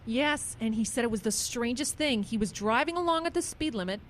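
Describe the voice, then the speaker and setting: Radio suspense part: earnest small-town woman actress, fairly high voice, mystified and caring, slight background noise. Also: lively intonation, a little fast, natural voice. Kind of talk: radio drama acting.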